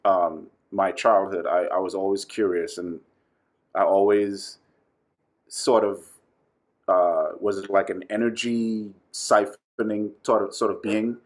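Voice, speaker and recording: Low-voiced man from Trinidad, chatting. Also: fairly even intonation, slow. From Trinidad, with summers in New York. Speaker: podcast guest, actor and director. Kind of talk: casual conversational interview, storytelling.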